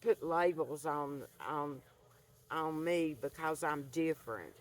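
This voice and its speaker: Slow-talking older woman. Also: sits low, a little hiss or hum. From rural Appalachian North Carolina. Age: 70s. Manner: earnest, soft-spoken, halting with restarts. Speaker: vlogger. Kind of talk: reflective monologue to camera. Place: outdoors by a fire pit.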